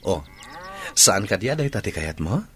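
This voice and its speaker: A man's voice: sits low, sing-song, measured pace, fairly bright tone, a little hiss or hum, full character voice. A man with an Ilocano accent playing a devoted young rancher's son in a radio drama. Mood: tender, earnest.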